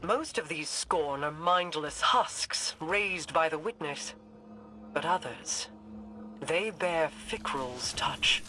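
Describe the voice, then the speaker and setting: A woman's voice: deep voice, lively intonation, slow, pushed and bright, slight background noise, full character voice. A woman playing a regal, enigmatic immortal queen in a video game, composed and formal in her delivery. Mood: grave.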